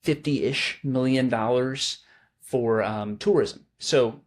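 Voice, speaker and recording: A man's voice: medium pitch, slow. A man hosting a local news podcast, chatting casually and working through numbers aloud. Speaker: podcast host. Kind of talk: casual chat.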